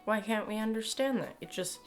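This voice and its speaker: A woman's voice: medium pitch, a little fast, faint music behind. A young adult woman with a Canadian accent giving casual, emphatic opinion commentary to camera in a sardonic, exasperated way. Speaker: video commentator. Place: small home room, indoors.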